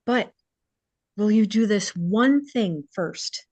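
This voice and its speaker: A woman's voice: medium pitch, lively intonation, takes it slowly, a little mellow, no echo. An actress reading a hopeful, persuasive small-town woman in a holiday romance table read. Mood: hopeful, persuading.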